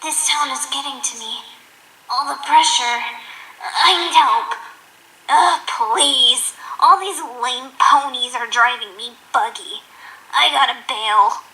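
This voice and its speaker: A woman voicing a tough, slangy young female griffin with a soft side in a cartoon audio drama. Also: high voice, takes it slowly, slight background noise, full character voice, rough recording. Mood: stressed. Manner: slangy, brash, defensive. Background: music.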